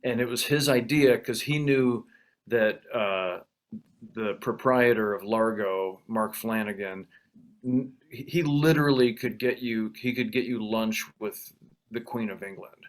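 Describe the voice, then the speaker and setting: A man's voice: on the low side, a little slow. A man hosting a conversation over a video call, talking in a rambling, self-deprecating way with many restarts. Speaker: event host.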